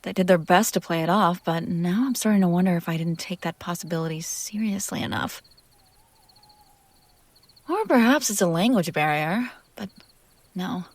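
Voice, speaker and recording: A woman playing a possessive, teasing demon in an intimate scripted audio roleplay, speaking theatrically with drawn-out phrases that drop toward whispers. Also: lowish voice, a little mellow, no room sound. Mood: puzzled, exasperated.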